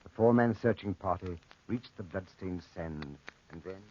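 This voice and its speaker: A man narrating a dark adventure tale as a solemn storyteller, dramatic and portentous, in 1940s radio drama style. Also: low-pitched, normal speed, a little hiss or hum, natural voice. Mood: grave, tragic. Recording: muffled 1940s radio recording.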